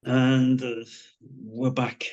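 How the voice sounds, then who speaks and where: A man's voice: mid-range voice, little pitch movement, drawn-out words, darkish tone, lo-fi sound. An actor playing a wry, shaken man given to gallows humour in a live screenplay reading. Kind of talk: live screenplay table read acting.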